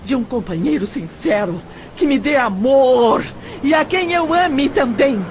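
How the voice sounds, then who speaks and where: A woman's voice: high-pitched, expressive, measured pace, a little hiss or hum. An actress playing an earnest, virtuous, proud young shop girl in a melodramatic audio drama, with a Brazilian accent. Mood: earnest, wounded. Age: young adult.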